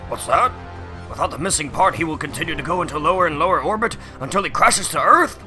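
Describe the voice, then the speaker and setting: A man voicing an agitated older official with a gravelly, strained voice in a dramatic comic book reading. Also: on the high side, expressive, quick pace, faint music behind, voice pushed up. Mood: alarmed. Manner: forceful exclamations.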